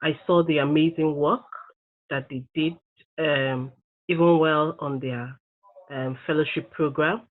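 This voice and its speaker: Measured woman from Nigeria, tinny audio. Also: low voice, steady tone. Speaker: peace fellow. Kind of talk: interview answer.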